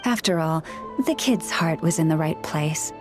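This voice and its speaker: A woman voicing a gentle, wise woman in a video game who speaks with animals, talking in a calm, measured, softly reflective way. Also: sits low, over music, close to an everyday voice.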